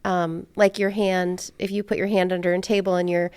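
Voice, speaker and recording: A woman's voice: fairly low pitch, flat delivery, normal speed, darkish tone, smooth. A woman hosting a podcast, chatting casually in a sincere, musing way. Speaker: podcast host. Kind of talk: casual conversational interviewing.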